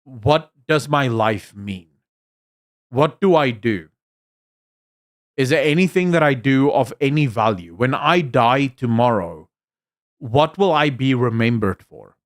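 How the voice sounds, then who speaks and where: A man's voice: medium pitch, animated, slow, long pauses. Afrikaans man chatting live, opinionated. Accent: Afrikaans South African, mixed with American and British. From South Africa. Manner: opinionated, emphatic, with frequent fillers and restarts. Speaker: livestream host reading chat. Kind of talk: casual live chat commentary.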